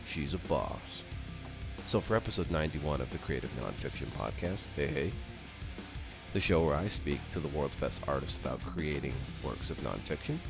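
A man with an extremely deep voice presents a podcast. His delivery is a casual scripted narration with playful, chatty asides. Plucked guitar music plays clearly behind him. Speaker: podcast host.

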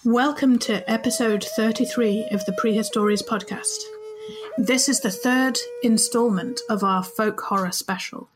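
A woman from Leeds with an English accent, mostly southern with faint Yorkshire traces, hosting a podcast in an informal, giggly, expressive way. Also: medium pitch, poor audio. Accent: English, mostly southern with faded Yorkshire traces. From Leeds, Yorkshire, England. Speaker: podcast host. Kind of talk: chatty podcast interview.

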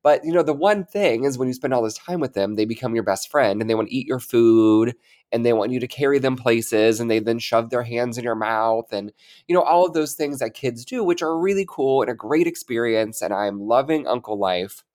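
A man's voice: medium pitch, fairly quick, a little mellow. Congested, chatty man co-hosting a podcast. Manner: chatty, upbeat, rambling. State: congested, stuffy-nosed. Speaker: podcast co-host. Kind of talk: casual chat.

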